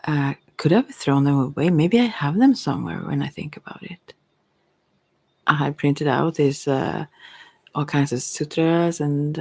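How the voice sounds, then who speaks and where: Casting: middle-aged Swedish woman, soft-spoken ASMR ramble, gravelly fry, very dry close recording. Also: low-pitched, no room sound. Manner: rambling, trailing off mid-thought. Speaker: ASMR creator and tarot reader. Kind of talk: unscripted reflective ASMR monologue.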